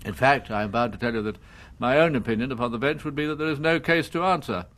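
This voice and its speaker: Actor as a proud, pompous old English judge. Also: male voice, mid-range voice, fairly bright tone, close to an everyday voice. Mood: coolly judicial. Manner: formal, measured, pompous legal diction. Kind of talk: radio drama acting.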